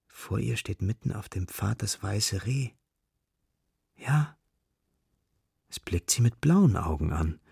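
A man narrating a German children's radio play as its storyteller, in short, measured storybook phrases. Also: low-pitched, vocal fry, darkish tone, husky, dry recording, studio quality, exaggerated voice. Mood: wondering. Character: male storyteller of a children's forest adventure.

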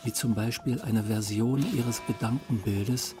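A man, a composer, narrating his thoughts on his work in a measured, reflective way. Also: on the low side, steady tone. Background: music.

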